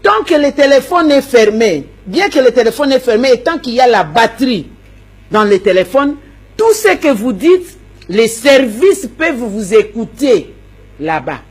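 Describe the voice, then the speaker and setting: Husky-voiced politician, a woman, forceful and repetitive, stumbles on words. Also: fairly high pitch, very animated, measured pace. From Democratic Republic of the Congo. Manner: emphatic, repeats words, stammers while searching. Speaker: opposition politician. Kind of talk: interview answers.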